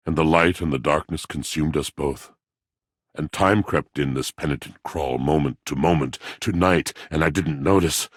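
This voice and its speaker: Brooding, lovelorn game character: bottomless pitch, raspy grain, grandly poetic and mournful. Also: male voice, no room sound, clean studio sound, exaggerated voice. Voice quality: gravelly. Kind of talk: theatrical video game character monologue.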